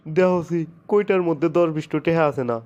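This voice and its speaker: A man plays a middle-aged father-in-law in a village comedy cartoon. His fairly high voice has a Sirajganj Bangladeshi accent and a folksy, grumbling delivery. The recording is a little dull. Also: fairly quick, a little mellow, smooth, close to an everyday voice.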